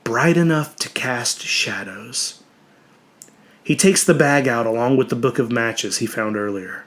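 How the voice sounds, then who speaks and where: Male storyteller, mid-pitched, for dramatic audiobook narration; measured, expressive delivery that softens into hushed phrase endings.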